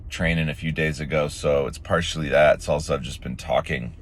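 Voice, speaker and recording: Low, raspy-voiced male vlogger, sore throat, casual rambling delivery. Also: fairly even intonation, fairly quick. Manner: rambling, many fillers and restarts. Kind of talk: casual morning vlog talk.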